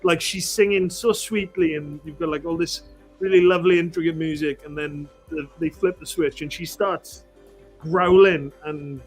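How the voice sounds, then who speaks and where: A man from Wales with a high voice, a band singer talking enthusiastically as a guest, swearing casually. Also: steady tone, moderate pace, faint music behind, poor audio.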